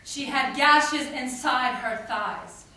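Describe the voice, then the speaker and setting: A young woman delivers an intense theatrical monologue in a high, bright voice. Her phrases are deliberate and broken by pauses. She is heard from a distance in a reverberant hall. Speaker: stage performer. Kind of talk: dramatic monologue recited on stage. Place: indoor stage performance in a theatre or hall. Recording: echoey hall, distant mic, faint noise.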